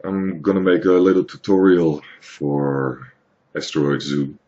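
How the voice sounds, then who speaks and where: A man from the Netherlands with a Dutch accent, explaining software in a hesitant, plain tutorial with many fillers. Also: very deep voice, a little slow, lo-fi sound. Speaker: tutorial maker. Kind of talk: screen-recorded software tutorial.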